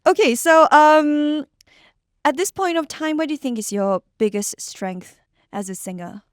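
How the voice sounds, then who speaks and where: A young woman with a Malaysian accent hosting a casual podcast interview, chatty, with many fillers and quick agreement. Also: sits high, no echo, clean studio sound. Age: young adult. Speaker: podcast host.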